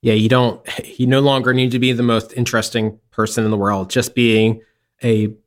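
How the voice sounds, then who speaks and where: A man's voice: medium pitch, flat delivery, normal speed. A man hosting a casual interview, chatty, using many fillers and laughing easily. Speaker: podcast host.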